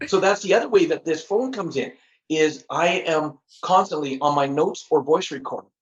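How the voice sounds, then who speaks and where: A man's voice: fairly high pitch, expressive, moderate pace. Earnest middle-aged man, dull lo-fi sound. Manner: earnest, repeats words, laughs at himself. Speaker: podcast guest. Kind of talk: casual interview chat.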